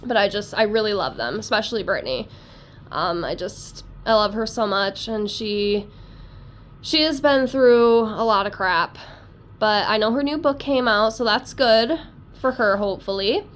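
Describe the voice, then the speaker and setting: A woman's voice: medium pitch, a little slow, a bit bright. A woman with a congested, stuffy voice from a cold, chatting casually and rambling as she reads tarot cards. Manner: rambling, talkative, self-deprecating. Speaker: tarot reader.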